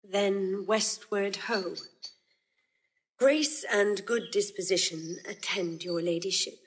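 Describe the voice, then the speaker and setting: A woman's voice: mid-range voice, slow pace, breathy edge. An actress playing a young woman disguised as a youth, earnest and guarded, in classical verse drama. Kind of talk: classical verse drama acting, recorded audio drama.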